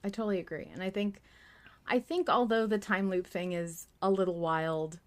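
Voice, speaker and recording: Woman hosting a casual podcast, medium pitch. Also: normal speed. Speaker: podcast host. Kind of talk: casual fan discussion podcast.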